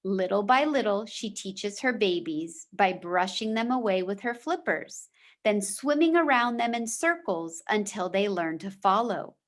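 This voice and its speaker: A woman reads aloud as a teacher would to young students. Her voice sits at an average pitch and her pace is slow. The delivery is deliberate storytelling, open to brief explanatory asides. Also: animated. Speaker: teacher reading a book aloud to fourth graders.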